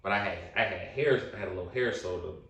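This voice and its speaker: Young guy telling a wild story, lowish voice, kinda far off the mic in an echoey room. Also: fast. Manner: animated, slangy, acts out quotes in a high voice. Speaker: podcast guest. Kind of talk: casual storytelling. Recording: roomy echo, distant mic.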